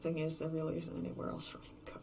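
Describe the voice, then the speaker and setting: A performer voicing a despairing, obsessive lone survivor in a horror fiction podcast, brooding and halting in a confessional monologue, often dropping toward a whisper. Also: mid-range voice, completely flat delivery, gravelly, background noise. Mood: hopeless, drained.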